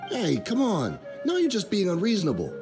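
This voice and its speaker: High-pitched, wheedling shifty informant guy. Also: wildly swooping pitch, brisk, over music, full character voice. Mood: defensive, placating. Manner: casual, defensive, wheedling. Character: shifty, cornered, wheedling male desert informant. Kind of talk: video game voice acting.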